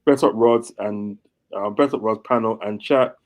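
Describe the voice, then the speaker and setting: A man's voice: on the low side, moderate pace, a little mellow. A man from Trinidad with a Trinidadian accent, co-hosting a football livestream and reading out the chat in a laid-back, slangy way. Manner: laid-back, slangy, checks in with "you get me". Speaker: co-host reading the live chat.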